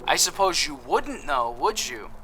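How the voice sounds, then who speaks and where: A man's voice: a little high, big pitch swings, unhurried, bright, forceful tone, exaggerated voice, poor audio. An actor playing a cheerful, boastful male apothecary suspect in an audio drama, with a chipper, self-satisfied, theatrical manner. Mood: smug.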